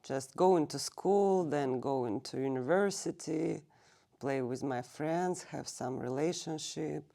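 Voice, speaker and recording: A woman in her thirties from Russia speaks slowly in a low, mellow voice. She is a native Russian speaker and often pauses to find the right English word. She is being interviewed in a library. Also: creaky. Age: 30s. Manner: halting, searching for English words. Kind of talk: recorded oral history interview. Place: public library.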